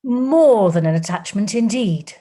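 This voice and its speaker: A woman reading an audiobook, voicing an anxious, gentle older woman who breaks startling news in a loud, trembling voice. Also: medium pitch, lively intonation, a little slow. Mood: urgent.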